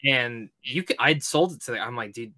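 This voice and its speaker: A man's voice: mid-range voice, moderate pace, bright, forceful tone, no room sound. A man hosting a podcast, chatting informally with swearing and lots of "like". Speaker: podcast host. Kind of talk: casual podcast chat.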